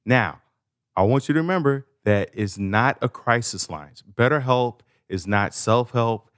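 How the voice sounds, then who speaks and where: A man's voice: mid-range voice, animated, measured pace, fairly bright tone, dry recording. Casual male podcast host, close and dry. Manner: informal, playful, slangy asides. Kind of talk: casual conversational podcast ad read and interviewing.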